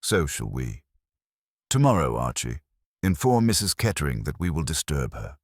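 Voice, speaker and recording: A man voicing a grave, imperious male master detective in an audiobook, formal and deliberate in his pronouncements. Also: very deep voice, big pitch swings, no room sound, studio quality, heavily acted. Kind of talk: audiobook character dialogue.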